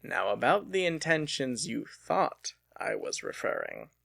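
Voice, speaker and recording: A man's voice: medium pitch, wildly swooping pitch, creaky, sharp tone, dry recording, full character voice. Man acting a commanding, shrewd older father. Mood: businesslike. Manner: commanding, deliberate, businesslike. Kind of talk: dramatic audiobook character voice.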